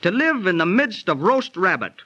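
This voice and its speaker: An actor playing a breezy, good-natured know-it-all hobo in a slangy, explanatory 1930s radio drama manner. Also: male voice, high voice, very animated, moderate pace, pushed and bright, husky, exaggerated voice. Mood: skeptical, explaining. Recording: muffled 1930s radio transcription.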